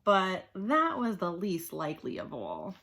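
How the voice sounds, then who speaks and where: A woman reads a children's storybook aloud with an animated, emphatic delivery and a slightly husky voice. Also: fairly low pitch, a little slow, a little hiss or hum. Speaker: storybook reader.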